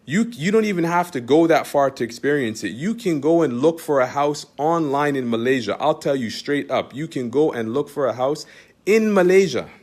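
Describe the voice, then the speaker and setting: Canadian man, teacher type, emphatic monologue, keeps asking "you understand?", voice sits a bit high. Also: expressive, normal speed, a little mellow. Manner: emphatic, repetitive, rhetorical questions. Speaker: Islamic teacher. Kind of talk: direct-address monologue to followers.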